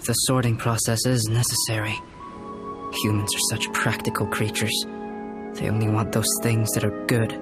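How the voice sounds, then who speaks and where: An actor playing a composed, aloof young man in a video game, speaking in a measured, formal and philosophical manner. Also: fairly low pitch, level tone, loud music behind the voice, natural voice. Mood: detached, pensive. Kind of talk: video game character acting.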